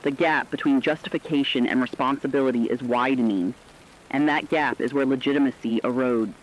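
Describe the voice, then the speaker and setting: A man's voice: mid-range voice, fairly quick, close to an everyday voice, poor audio. A man playing a serious radio correspondent, giving a scripted emergency war report with formal, emphatic, clipped phrasing. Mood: serious, urgent.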